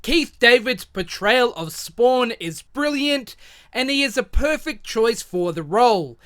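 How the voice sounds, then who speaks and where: A man in his thirties narrating a scripted retrospective review in a measured, reflective way. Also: very high voice, dry recording, clean studio sound. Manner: measured, reflective, even delivery.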